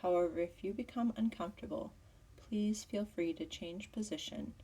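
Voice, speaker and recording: A woman teaching yoga nidra as a guided meditation, with a soft, breathy voice and soothing, measured instruction. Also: mid-range voice, far from the mic.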